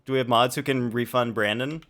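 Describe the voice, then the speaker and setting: A man's voice: medium pitch, fairly even intonation, brisk, a bit bright. A man livestreaming a video game, chatting casually and rambling as he thinks aloud. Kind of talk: casual live gaming chat and commentary.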